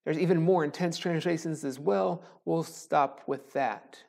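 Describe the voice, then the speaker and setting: A man's voice: on the high side, gravelly, darkish tone. A man in his forties, a pastor, giving a recorded sermon in a conversational, joking way from a small church sanctuary, speaking into a lapel mic. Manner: joking, conversational, with pauses and self-deprecating asides. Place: small church sanctuary with a wooden cross behind. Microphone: lapel mic, camera framed from the chest up.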